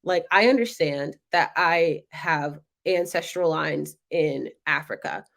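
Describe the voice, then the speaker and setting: A woman's voice: low-pitched, takes it slowly, gravelly. Chatty young woman telling stories. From North Carolina, United States. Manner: animated, acts out others' voices in quotes. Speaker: web show host. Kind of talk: casual monologue.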